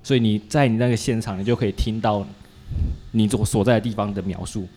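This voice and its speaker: A man's voice: lowish voice, fairly even intonation, quick pace, mellow. A man with a Taiwanese Mandarin accent hosting a casual chat, joking and colloquial, with lots of little interjections. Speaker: podcast host interviewing a guest.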